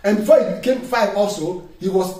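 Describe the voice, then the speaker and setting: A man's voice: high voice, brisk, a little mellow. A man, a pastor preaching a sermon with emphatic call-and-response questions to his congregation. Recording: echoey room, distant mic, faint noise.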